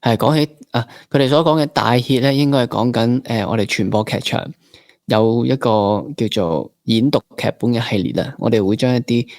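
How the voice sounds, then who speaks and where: A man's voice: mid-range voice, normal speed, a little mellow, dry recording, studio quality. A man with a Hong Kong Cantonese accent hosting a casual interview, informal and mixing English words into his talk. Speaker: podcast host.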